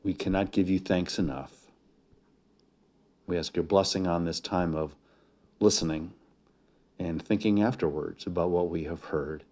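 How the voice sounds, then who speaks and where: A man speaking as a pastor in prayer and sermon introduction, with solemn, deliberate phrasing. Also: deep voice, steady tone, darkish tone. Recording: dull, muffled lo-fi recording.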